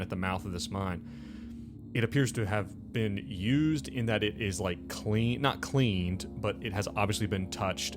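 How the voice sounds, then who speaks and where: A man running a tabletop game, narrating off the cuff in a halting, conversational, explanatory way. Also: fairly low pitch. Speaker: game master. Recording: music behind the voice.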